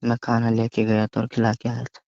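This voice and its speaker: Young man, medium pitch, monotone, halting casual phone talk, dull lo-fi recording. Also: vocal fry, dry recording. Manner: hesitant, stammering, repeats words. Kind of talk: casual private phone conversation. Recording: dull, dry lo-fi call recording.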